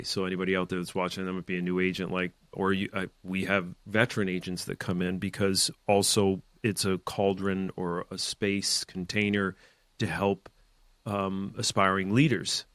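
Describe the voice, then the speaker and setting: A man's voice: low-pitched, level tone, moderate pace, fairly bright tone, no room sound. A man hosting a real estate podcast, giving emphatic, opinionated advice in a rambling, casual way with side notes. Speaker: real estate team leader and podcast host.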